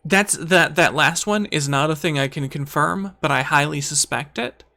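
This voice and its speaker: A man's voice: on the high side, moderate pace, vocal fry, sharp tone. An American man who is a guest in a casual conversation, talking in a thoughtful, self-deprecating way. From United States. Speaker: guest YouTuber.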